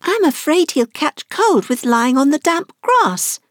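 A woman's voice: high voice, very animated, a little slow, no room sound, studio quality, pitched above the performer's own voice. A woman voicing a polite, earnest, easily indignant little girl in a storybook, with a prim, childlike manner. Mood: concerned, sure. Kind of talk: storybook character reading.

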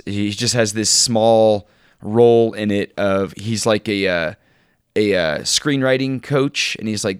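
A man with a low, smooth voice talks casually as a podcast co-host. His delivery is informal and halting, with real enthusiasm for what he likes. The recording is close and dry, so every word sits cleanly. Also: dry recording. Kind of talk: casual chat, recommending shows and books.

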